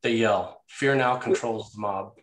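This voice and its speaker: A man's voice: fairly low pitch, a little slow. A man reading scene headings and stage directions as the narrator of a screenplay table read. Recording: roomy, echoey sound.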